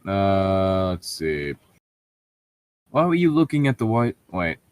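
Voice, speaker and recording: A man's voice: low-pitched, very animated, takes it slowly, a little mellow. A man reading a story aloud as a co-host, breaking off for sarcastic, sweary, irreverent asides. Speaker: co-host reading fan fiction aloud with mocking commentary. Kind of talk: read-aloud narration broken by sarcastic asides.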